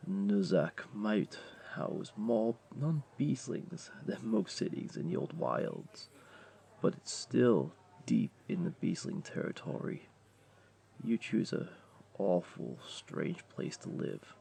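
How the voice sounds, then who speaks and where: A man's voice: fairly low pitch, very animated, vocal fry, dark tone, full character voice. A man voicing a flirty, laid-back jaguar beastling, a tavern regular who teases and coaxes the listener in a roleplay audio drama. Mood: wry. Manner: playful, coaxing, unhurried teasing.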